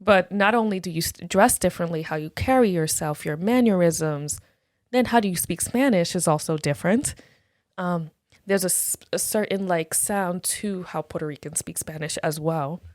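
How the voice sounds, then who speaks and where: A woman guest casually recounting her story in conversation, with a relaxed storytelling manner and soft, frequent affirmations. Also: fairly low pitch, normal speed, no echo, clean studio sound. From United States.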